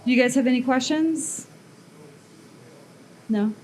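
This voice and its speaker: A woman's voice: sits high, measured pace, slight background noise, poor audio. A woman blacksmith and sculptor giving a live workshop demonstration to an audience, talking casually and thinking aloud with fillers and restarts. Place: metal workshop at a smithing event.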